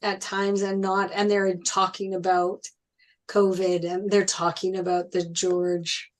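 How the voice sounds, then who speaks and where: A woman's voice: medium pitch, level tone, slow pace. A woman in a casual group chat, sharing observations in a reflective, conversational way. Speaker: discussion participant.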